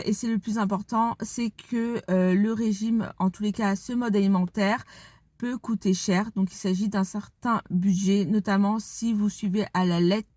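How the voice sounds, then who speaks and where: A woman's voice: mid-range voice, a little mellow, no room sound. A woman with a blocked nose from a cold, chatting casually in a vlog with frequent fillers. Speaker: vlogger. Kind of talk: casual vlog talk to camera.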